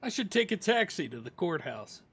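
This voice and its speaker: A man with a hoarse, gravelly voice from a sore throat, rambling casually while he plays a video game and clears his throat. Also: high voice, very animated, moderate pace, a bit bright. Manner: rambling, chuckling asides, frequent throat clearing. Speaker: streamer playing a video game. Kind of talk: casual let's-play commentary.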